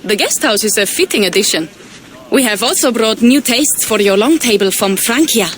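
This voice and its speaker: A woman's voice: on the high side, animated, normal speed, slight background noise, natural voice. An actress playing a proud Viking woman envoy, earnest and persuasive.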